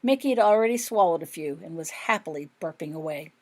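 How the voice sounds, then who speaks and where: A woman narrates a story in audiobook style, reading from a script of her own fiction. Her voice sits a little low. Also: expressive, fast talker, darkish tone.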